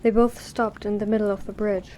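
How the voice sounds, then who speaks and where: A woman narrates a scripted drama in a warm, dark-toned voice of average pitch. A faint ambient noise sits behind her reading. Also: little pitch movement, a little fast.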